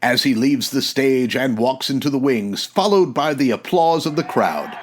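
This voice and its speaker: A man performs a male narrator for a comic 1940s-style radio serial. He is earnest and formal, with melodramatic, descriptive delivery. His voice sits at a medium pitch. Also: animated, moderate pace, husky. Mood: dramatic.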